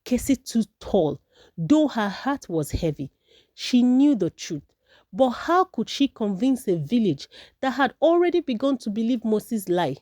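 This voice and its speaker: Mellow woman storyteller, lively intonation. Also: fairly high pitch, unhurried, no echo, studio quality. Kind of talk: scripted folktale narration.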